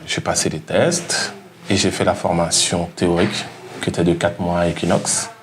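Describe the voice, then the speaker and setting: A man's voice: low-pitched, fairly even intonation, normal speed. A man of forty from Guiana, giving a personal testimonial. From French Guiana. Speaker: programme participant, salesman. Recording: faint background noise.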